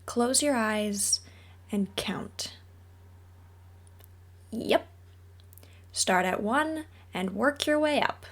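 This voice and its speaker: A woman playing a friendly, patient mentor in a roleplay audio drama, speaking to the listener in a gentle, reassuring, casually instructive way. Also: on the high side. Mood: calm, soothing. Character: friendly female mentor with powers, patient and encouraging trainer.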